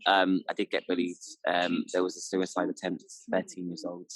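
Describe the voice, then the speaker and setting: A man's voice: sits low, completely flat delivery, normal speed, a bit bright, dry recording, poor audio. A man, answering interview questions in a reflective, casual way, with many fillers. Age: 30s. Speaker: interview guest.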